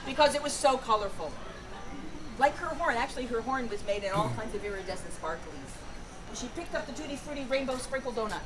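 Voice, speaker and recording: A woman improvising a fairy tale for a live audience, playful and pausing to invite suggestions. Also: on the high side, animated. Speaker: storyteller, performer. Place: outdoor Renaissance festival with audience. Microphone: distant camera. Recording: noisy outdoor festival, distant mic.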